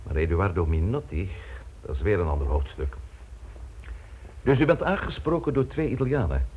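Through a muffled, narrow old radio recording comes a chief of police. His voice is low and husky, with a wide, lively swing. He is formal, measured and dryly ironic, a superior used to being obeyed. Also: full character voice. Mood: dryly knowing. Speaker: actor in a radio play. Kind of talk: Dutch radio drama acting.

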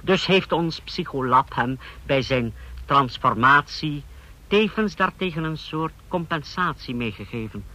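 An actor playing a deferential, scheming aide to a dictator in a Dutch radio drama, formal and precise. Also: male voice, a little high, animated, measured pace, sharp tone, heavily acted. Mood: smooth, reassuring.

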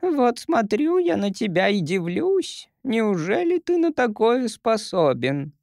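A man voicing an ancient, tiny, merry female creature who is the first grandmother, in a teasing, giggly, drawn-out manner. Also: high voice, sing-song, vocal fry, a little mellow, no echo, clean studio sound, voice pushed up, exaggerated voice. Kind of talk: audiobook character voice.

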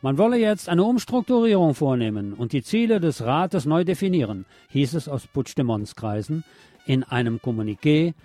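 A man's voice: a little high, very animated, normal speed, dark tone, faint music behind. A man presenting scripted radio news with a wry, colloquial touch. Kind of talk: scripted news magazine narration.

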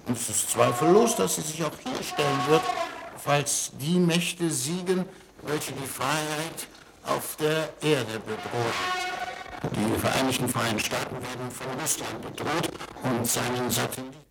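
An actor playing a solemn, pompous statesman on a diplomatic mission in a 1950s radio play, speaking formally and haltingly. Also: medium pitch, creaky. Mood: earnest, persuasive. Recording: noisy 1950s radio recording.

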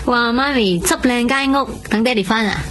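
A woman's voice: a little high, moderate pace, natural voice. An actress playing an earnest young woman recovering from a heart transplant in a radio drama, speaking Cantonese with a Hong Kong accent. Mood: casual, puzzled. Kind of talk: radio drama acting with reflective monologue. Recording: loud music behind the voice.

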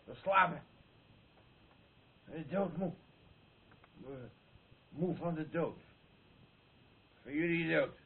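A man's voice: fairly high pitch, takes it slowly, long pauses. A man playing a drunken, threatening bully with slurred, rambling speech in a radio play. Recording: muffled, phone-like old radio recording.

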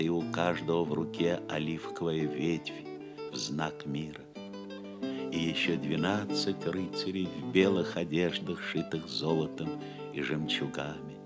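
Low, slow male storyteller over guitar. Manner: solemn, measured. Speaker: narrator of an audio play. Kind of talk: scripted storytelling narration of a legend. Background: plucked guitar music.

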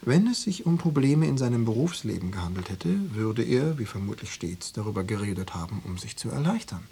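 An actor playing an insinuating Stasi officer in a radio drama, probing and coaxing with cool formality and veiled menace. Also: male voice, on the low side, big pitch swings, fairly quick, gravelly, warm tone, slight background noise. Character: insinuating male Stasi officer pressuring an informant. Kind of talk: German radio drama dialogue.

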